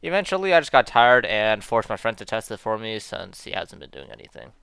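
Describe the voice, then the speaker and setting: Young male narrator for a scripted video: low, slightly gravelly, close and dry, self-deprecating and casual. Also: very animated, a little fast, fairly bright tone, no echo. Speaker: amateur game developer narrating a video.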